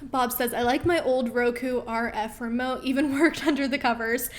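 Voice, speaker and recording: A woman's voice: a little high, fairly even intonation, moderate pace. A woman in her thirties hosting a live question-and-answer stream, reading viewer comments in a chatty, upbeat way with frequent ums, her voice getting worn. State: losing her voice.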